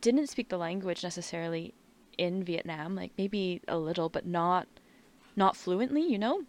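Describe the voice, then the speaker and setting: A woman's voice: fairly low pitch, expressive, moderate pace. A woman speaking frankly in a casual conversation, often using filler words. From Canada. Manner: candid, frank, uses filler like.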